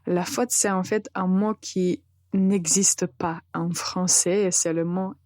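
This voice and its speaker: Slow Serbian-accented woman teaching French. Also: on the low side, no room sound, clean studio sound. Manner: calm, deliberate, with frequent hesitations. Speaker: French teacher and podcast host. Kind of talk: slow podcast lesson.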